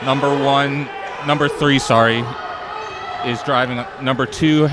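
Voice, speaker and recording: A young student man doing live play-by-play of a basketball game in fragmented calls, often raising his voice toward a shout. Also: medium pitch, fairly even intonation, unhurried, rough recording. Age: teenager or young adult student. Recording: very loud gym crowd and noise. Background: loud crowd and bouncing basketballs.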